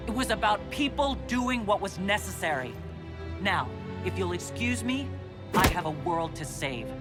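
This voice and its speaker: A man's voice: high-pitched, sing-song, moderate pace. An actor playing a defiant, battle-hardened male leader in a video game cutscene, firm and blunt. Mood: resolute, defiant. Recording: loud music over dialogue.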